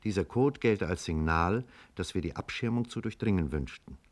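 A man playing the narrator of a 1950s German radio drama, with measured, literary delivery. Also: low voice. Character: male narrator recounting events as a scientist.

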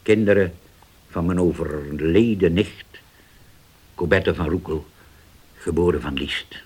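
A deep, husky-voiced older uncle in a radio drama. He speaks slowly and gravely, weighing his words with the patience of someone who has chosen forgiveness over revenge. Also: darkish tone. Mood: solemn, deliberate. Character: grave uncle, once vengeful, now forgiving, soothing.

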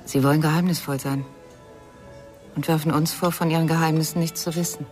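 A woman's voice: sits low, steady tone, brisk, a little mellow, soft background music, lightly acted. A woman acting in a German radio crime drama, playing the sorrowful, reflective but hopeful mother of a missing son.

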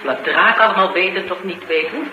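An actress as a sharp-tongued, determined woman in a Dutch radio play. She talks bluntly and bossily, like someone used to getting her way. The old recording carries clear noise. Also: on the low side, a little fast, muffled recording, lightly acted. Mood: brisk, urgent. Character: tough, sharp-tongued, determined woman interviewer sheltering a fugitive. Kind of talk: Dutch radio drama acting.

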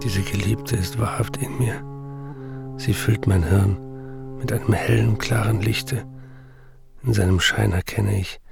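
Low, creaky confessional writer. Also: male voice, takes it slowly, long pauses, heavily acted. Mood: blissful, enraptured. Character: dreamy, confessional male writer addicted to morphine. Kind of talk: literary radio play monologue. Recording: studio voice with music behind. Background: music.

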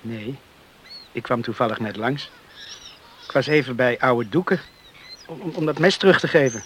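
An actor playing a friendly island beachcomber in a radio play, earnest and sometimes hesitant. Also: male voice, medium pitch, normal speed, a little hiss or hum, close to an everyday voice. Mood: hesitant, coaxing. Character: friendly male island beachcomber, earnest storyteller, shy suitor.

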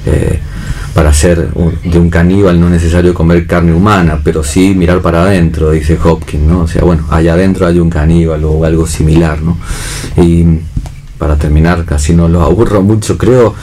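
A man's voice: low-pitched, fairly even intonation, moderate pace, dark tone, slight background noise. An Argentine man reflecting aloud in an unscripted discussion, searching for words and often checking with tag questions. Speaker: theatre director and actor, panel guest.

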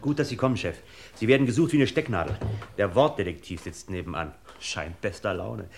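An actor playing a chatty FBI subordinate in a German radio crime drama, brisk and colloquial, on a noisy recording. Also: male voice, lowish voice, expressive, gravelly, music in the background.

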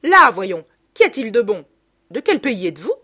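Woman playing a loud, bossy provincial mother. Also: fairly high pitch, big pitch swings, moderate pace, voice pushed up. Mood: chatty.

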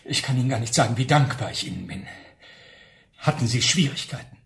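A man playing a generous client in a radio play, effusively grateful and brisk in his manner. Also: mid-range voice, animated, vocal fry, a bit bright. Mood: gushing. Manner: gushing, brisk.

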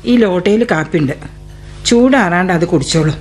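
A woman's voice: fairly low pitch, animated, slightly rough. A fretful, doting mother. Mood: fussing. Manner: fussing, hurried. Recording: radio drama with faint music.

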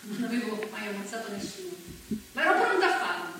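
A woman's voice: on the high side, big pitch swings, brisk. An actress playing a fierce, proud working-class partisan woman, telling her story emphatically in a stage monologue. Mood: tense, determined. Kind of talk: theatrical monologue, dramatic first-person storytelling. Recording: very echoey, distant stage recording, faint noise.

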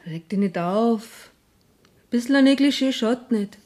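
A saucy young Bavarian girl in her late teens played by an actress in a radio drama. Her voice is fairly high, and she is brazen and unbothered in her dialect talk. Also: expressive, moderate pace, darkish tone, lightly acted. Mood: cheeky. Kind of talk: 1970s German radio play acting in dialect.

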